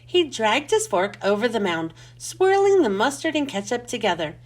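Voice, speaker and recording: A woman reading a children's storybook aloud as host, with expressive, clearly enunciated delivery. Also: a little high, normal speed.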